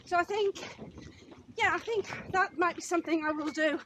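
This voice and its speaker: A woman's voice: very high-pitched, moderate pace, a bit bright, a little hiss or hum. A woman in her fifties from Wales, co-hosting a running channel and chatting casually to camera about her goals. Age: 50s.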